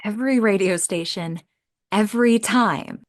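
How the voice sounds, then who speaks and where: A woman's voice: mid-range voice, measured pace, lightly acted. A woman playing a composed investigator with a dry, understated way of talking.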